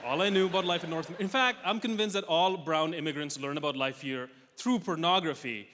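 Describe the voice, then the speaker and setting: A young male comedian with a bright, forceful voice and a slightly higher pitch, trading in dry, self-mocking jokes. He was raised in Russia and works a festival stage. His voice rings out on a live recording with a long hall reverb and faint room noise behind it. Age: young adult. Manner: dry, self-mocking punchlines with quick asides. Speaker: stand-up comedian. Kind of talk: stand-up comedy set.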